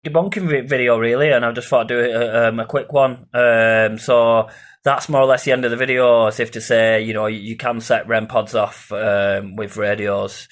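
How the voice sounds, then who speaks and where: A man's voice: mid-range voice, fairly even intonation, moderate pace. Rambling man, informal vlog. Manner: hesitant, repeats himself, swears casually. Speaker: amateur paranormal investigator and video maker.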